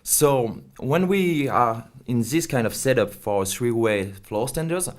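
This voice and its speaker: A man's voice: mid-range voice, animated, takes it slowly. Man explaining a product. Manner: hesitant non-native English phrasing. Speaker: company representative being interviewed.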